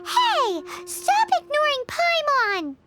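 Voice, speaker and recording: A woman voicing a squeaky, childlike fairy companion in a video game, cheeky, excitable and blunt. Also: slow, faint music behind, exaggerated voice. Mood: indignant. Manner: refers to herself in third person, blunt and teasing. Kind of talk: animated game voice acting.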